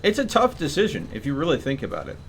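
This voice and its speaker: A man's voice: a little high, wildly swooping pitch, quick pace, vocal fry, slight background noise. A man giving casual live commentary as he streams a game, musing to himself as he goes.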